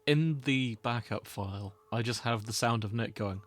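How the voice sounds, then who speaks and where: A man's voice: medium pitch, normal speed. A man hosting a podcast in a casual, chatty style. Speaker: podcast host.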